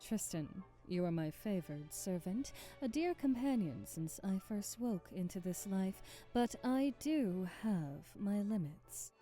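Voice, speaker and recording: A woman's voice: mid-range voice, animated, slow pace, few pauses, warm tone, soft background music. A woman playing a haughty, aristocratic vampire hostess in an ASMR audio drama, speaking in a formal, theatrical and commanding way. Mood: irritated, stern.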